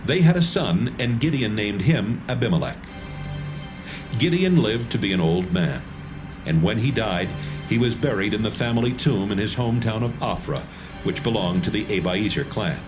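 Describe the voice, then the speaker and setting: A man narrating a dramatised Bible reading in a steady, measured storytelling manner. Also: lowish voice. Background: music score.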